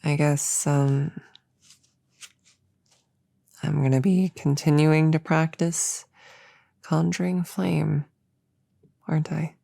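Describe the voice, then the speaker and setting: A woman playing a flustered, earnest young novice witch in an ASMR audio roleplay, soft-spoken and halting, slipping into whispers. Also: deep voice, steady tone, gravelly, dry recording, studio quality, exaggerated voice. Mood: sheepish.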